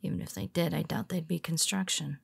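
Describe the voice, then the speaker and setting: A woman playing a weary, teasing female superhero who speaks softly to her nemesis, often dropping to a whisper. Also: fairly low pitch, fairly even intonation, brisk, gravelly, slightly rough, dry recording, exaggerated voice. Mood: wry teasing. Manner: teasing, soft-spoken, slips into whispers. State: tired. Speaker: audio roleplay performer. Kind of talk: intimate audio roleplay.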